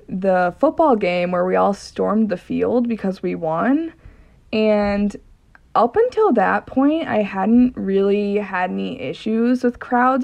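A woman's voice: mid-range voice, gravelly, a little mellow, muffled recording. A young woman casually recounting a personal experience in an interview. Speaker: interviewee in an audio documentary.

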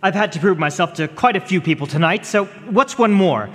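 Earnest high-voiced suitor. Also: male voice, expressive, a little fast, fairly bright tone. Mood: earnest, kindly. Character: earnest, gallant young male suitor. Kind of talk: live radio drama acting. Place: performance hall stage. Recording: reverberant concert hall stage.

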